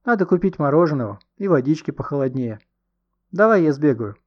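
A man voicing an eager boy detective in an audiobook, animated and colloquial. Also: on the high side, a little fast, dull audio. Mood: lively.

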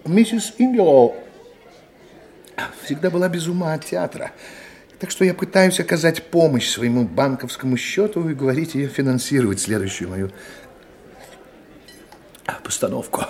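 An actor playing a fussy, self-serving theatre producer in a radio play, effusive and given to repeating himself. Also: male voice, fairly high pitch, animated, moderate pace, pauses often, a little mellow, slight background noise. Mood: self-justifying.

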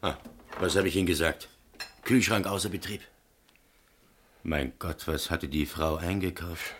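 A man's voice: low-pitched, unhurried, a bit bright, a little hiss or hum. A man playing a matter-of-fact electrician in a radio crime drama, explaining things precisely in short emphatic statements. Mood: curious. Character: matter-of-fact male electrician and shop owner, master of apprentices.

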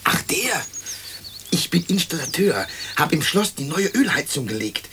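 An actor playing the gossipy, confiding ghost of a heating fitter in a radio play. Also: male voice, a little high, big pitch swings, a little slow, noisy background. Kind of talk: radio drama acting.

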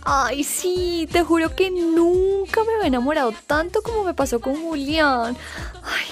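A woman's voice: extremely high pitch, a little slow, faint music behind. A woman playing a dreamy young Colombian woman in love, sighing and gushing in a radio soap. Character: dreamy lovestruck young woman gushing about her boyfriend.

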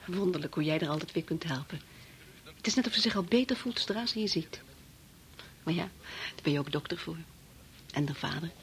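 A woman's voice: deep voice, lively intonation, a little fast, long pauses, a little hiss or hum. A Dutch actress from the Netherlands playing an anxious, tender young wife and mother in a radio play, with worried questioning. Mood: tender, soothing.

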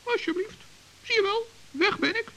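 A man playing a small, proud, excitable forest gnome in a children's radio play, chatty and self-important, with a squeaky voice. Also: moderate pace. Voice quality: high, creaky, squeaky. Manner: chatty, self-important, stammers when flustered.

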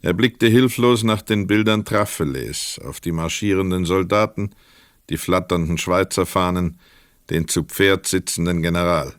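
A man narrating a literary radio play from a script in a measured, precise storytelling manner. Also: sits low, dry recording, clean studio sound.

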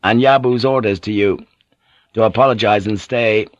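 A man's voice: fairly low pitch, moderate pace, dry recording, highs cut off, lightly acted. A man voicing a blunt, probing, passionate foreign sailor, speaking directly and insistently in audiobook dialogue. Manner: direct, insistent, at times archaic phrasing.

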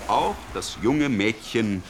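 A man with a fairly low voice delivers formal radio-play narration. He speaks very slowly and deliberately, with clear rumbling noise in the background. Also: lively intonation.

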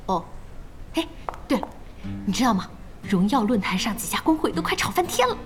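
A woman playing a spirited young gamer in an audio drama, chatty, expressive and inquisitive. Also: medium pitch, brisk, faint music behind, lightly acted. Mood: excited, chatty. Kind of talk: audio drama acting in dialogue.

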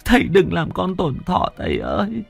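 Man playing a tearful young daughter, high and straining, devoted. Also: huge pitch swings, moderate pace, gravelly, husky, soft background music, full character voice. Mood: sobbing. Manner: sobbing, high-pitched pleading.

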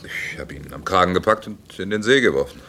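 A man's voice: low voice, lively intonation, brisk, vocal fry, sharp tone, heavily acted. An actor playing an upright, world-weary man in a German radio play, grumbling and emphatic. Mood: recollecting.

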